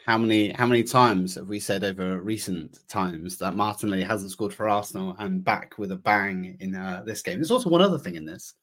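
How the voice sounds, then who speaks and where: A man's voice: on the low side, brisk, hardly any pauses, fairly bright tone. A man hosting a football podcast while sounding bunged up, upbeat and jokey. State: stuffy, bunged up. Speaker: podcast host. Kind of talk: casual football chat.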